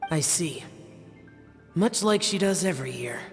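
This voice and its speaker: A man's voice: on the high side, animated, unhurried, gravelly. A man voicing an aloof young guardian in a video game, terse and guarded with a faint weariness. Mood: dry, reserved. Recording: long reverb, music underneath.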